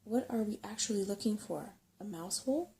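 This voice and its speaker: A woman's voice: medium pitch, brisk, mellow, slight background noise, lightly acted. A woman voicing a doubtful, questioning human boy in a storybook, with a sceptical way of talking. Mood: puzzled.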